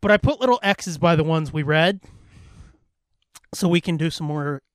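A man's voice: on the high side, lively intonation, normal speed, no echo. A man co-hosting a casual comedy podcast, bantering and swearing freely. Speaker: podcast co-host. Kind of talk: casual, profane podcast banter and joke reading.

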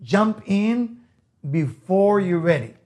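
A man's voice: sits high, very animated, takes it slowly, a little mellow. A middle-aged man from Israel with an Israeli accent, a native Hebrew speaker, giving an emphatic, repetitive motivational talk.

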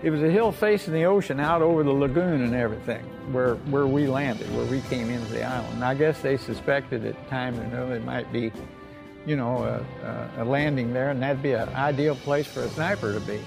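An older American man, a war veteran, telling his memories informally in a folksy, halting way with repeated phrases. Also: medium pitch, creaky, music in the background. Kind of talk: informal oral-history storytelling.

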